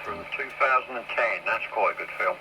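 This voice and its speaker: A man's voice: lowish voice, brisk. A man chatting casually over CB radio. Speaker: CB radio hobbyist. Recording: noisy, crackly CB radio.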